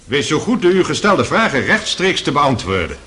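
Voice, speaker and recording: Bright, animated presiding judge. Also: male voice, a little high, normal speed. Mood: admonishing. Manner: formal, authoritative. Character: admonishing male presiding judge of a court. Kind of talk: radio play courtroom acting. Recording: faint background hiss.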